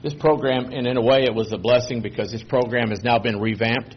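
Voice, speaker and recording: A man, giving a relaxed, rambling presentation to a committee. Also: medium pitch, brisk, a little hiss or hum. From Texas. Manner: relaxed, rambling, self-correcting. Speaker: guest presenter. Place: meeting room. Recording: dull lo-fi public meeting recording.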